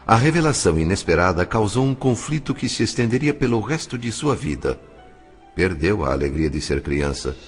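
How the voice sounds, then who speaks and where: A man playing the solemn narrator of an audio novel, reading literary prose in measured phrases. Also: lowish voice, sing-song, breathy edge. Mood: solemn, reflective. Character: solemn male narrator of a spiritual family saga. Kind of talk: scripted dramatic narration. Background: faint music.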